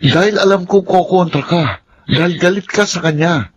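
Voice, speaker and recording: A man's voice: high-pitched, moderate pace, dull audio, a little hiss or hum, lightly acted. A man playing an earnest, indignant young suitor in a Filipino radio drama, defensive, with a Filipino accent.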